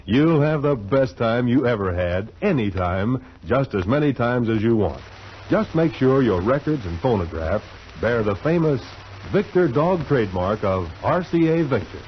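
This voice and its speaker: A man's voice: mid-range voice, lively intonation, normal speed. A man announcing a 1940s radio show from a script, with a theatrical, promotional delivery. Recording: muffled 1940s radio with hiss.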